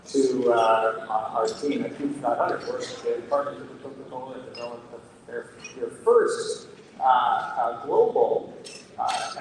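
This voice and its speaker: A man moderating a panel discussion, asking long questions and often halting with fillers. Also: mid-range voice. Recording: distant mic in an echoey hall with crowd.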